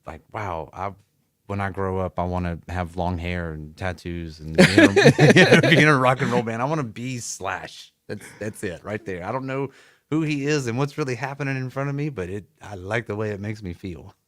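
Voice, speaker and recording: A middle-aged man with a Southern American accent, a musician telling stories about his youth in a relaxed way, laughing often, in a music studio. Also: lowish voice, normal speed. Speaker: musician guest. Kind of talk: casual interview.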